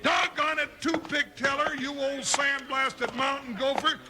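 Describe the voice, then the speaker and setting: Blustery comic cowpoke, very high voice, American Western accent, folksy radio drama, heavy noise and clicks. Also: male voice, level tone, measured pace. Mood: exasperated. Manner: folksy, exclamatory, drawling bluster. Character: big, blustery, comic cowboy sidekick, soft-hearted grumbler. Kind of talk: 1950s radio Western acting. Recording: noisy, clicking 1950s radio recording.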